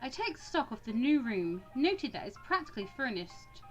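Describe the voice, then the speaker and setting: High voiced lady reading a visual novel out loud, super expressive, music in the background. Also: moderate pace, highs cut off. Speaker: let's player reading a visual novel aloud. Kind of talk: expressive dramatic reading with brief casual reactions.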